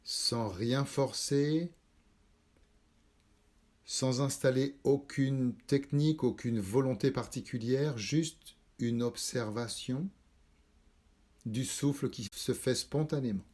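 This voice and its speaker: A man leading a guided yoga nidra meditation, calm and measured, instructing in short spaced phrases. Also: mid-range voice. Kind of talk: slow guided relaxation meditation.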